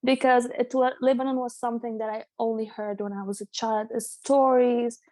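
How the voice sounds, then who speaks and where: A woman's voice: fairly high pitch, fairly even intonation, a little mellow, dry recording, clean studio sound. A young woman from Venezuela talking casually as an interview guest, laughing often and restarting her sentences. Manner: frequent laughter, halting restarts.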